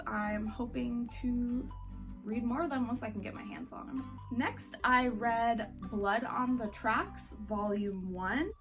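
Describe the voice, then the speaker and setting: A woman giving a casual, chatty review as a vlog host, speaking informally with "like" sprinkled through her sentences. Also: fairly high pitch. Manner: informal, peppered with "like". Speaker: book reviewer hosting a reading wrap-up vlog. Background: background music.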